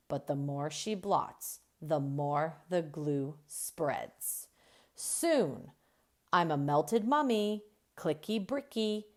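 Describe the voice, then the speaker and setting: A woman reading a children's book aloud as a storyteller, expressive and deliberate, drawing out key words. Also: lowish voice. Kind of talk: expressive read-aloud narration.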